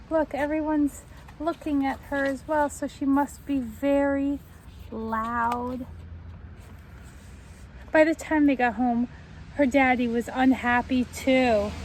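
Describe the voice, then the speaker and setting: A young woman reading a children's book aloud in a deliberate, expressive way, explaining and asking the listeners questions. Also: high-pitched, rough recording. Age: young adult. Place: outdoors on a front step by a house door. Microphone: camera at a short distance. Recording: faint outdoor street noise.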